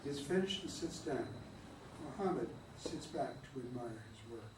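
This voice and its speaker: A man's voice: medium pitch, lively intonation, moderate pace. A man reading a play's stage directions aloud in a plain manner, sometimes stumbling over words. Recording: echoey room, distant mic, light noise.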